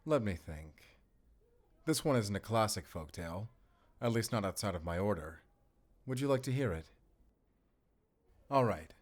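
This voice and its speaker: An actor playing a mysterious, protective and wry German-speaking werewolf hunter in an intimate audio roleplay, soft-spoken and teasing. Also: male voice, on the low side, lively intonation, moderate pace, long pauses. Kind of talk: cinematic audio roleplay, intimate bedtime storytelling.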